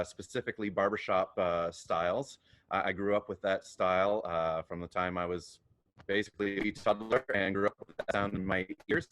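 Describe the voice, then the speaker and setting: Deep-voiced man with a big voice. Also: fairly bright tone. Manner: big, booming voice, laughs easily. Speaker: professional singer and voice coach. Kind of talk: casual interview answers.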